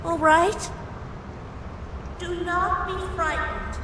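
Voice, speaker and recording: A woman plays a motherly goat-monster queen, gentle but fretful. Her very high voice moves slowly and formally, in a long reverb, in a dramatic comic dub. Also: lively intonation, heavily acted. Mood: anxious, soothing. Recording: reverberant hall with background noise.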